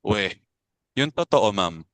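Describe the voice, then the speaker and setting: A man in a dramatic reading playing a calm, polite figure who speaks up against fear. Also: lowish voice, slow pace, no room sound.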